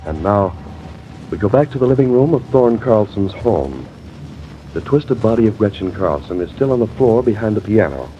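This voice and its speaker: An actor playing the narrator of a vintage radio crime drama, reading scripted scene-setting lines. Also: male voice, lowish voice, animated, moderate pace, natural voice. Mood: grave. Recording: muffled, noisy old radio recording.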